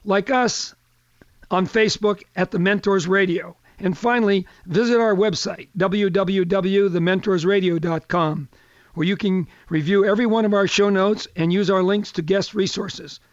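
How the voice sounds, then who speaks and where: An older man with a fairly high voice hosts a radio talk show, folksy and chatty and a touch hoarse. The sound is dry and close, with a slightly dull, muffled tone. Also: fairly even intonation, normal speed, no echo.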